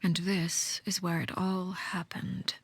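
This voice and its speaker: An actress playing a cold, menacing woman leader in a video game, speaking in a measured, formal, commanding way with a breathy hush. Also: fairly low pitch, flat delivery, a little hiss or hum. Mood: grave, detached. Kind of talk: scripted video game voice acting.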